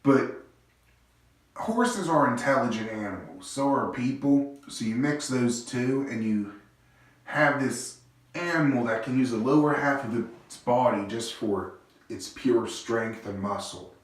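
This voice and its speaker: Male host with a stuffy cold, low-energy rambling musings, very echoey bathroom recording, a bit off-mic. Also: lively intonation, unhurried, distant mic. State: congested, stuffy-nosed. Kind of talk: casual speculative monologue. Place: small home bathroom.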